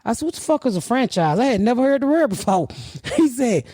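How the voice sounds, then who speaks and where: Mulher falante e desbocada, som seco. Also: female voice, on the high side, very animated, fast talker, dark tone, no room sound. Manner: profane, blunt, rambling. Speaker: podcast host. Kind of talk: casual storytelling.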